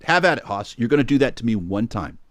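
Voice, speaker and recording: A man's voice: medium pitch, very animated, fast talker, no echo. A middle-aged man with a Midwest and New York American accent, chatting casually as a podcast co-host, restarting phrases often. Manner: chatty, laughing, frequent restarts. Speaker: audio engineer co-host.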